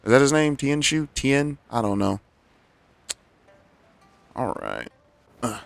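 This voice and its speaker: A man's voice: medium pitch, huge pitch swings, slow pace, creaky, dry recording, faint music behind. A man giving casual commentary as he streams a game, rambling and thinking aloud.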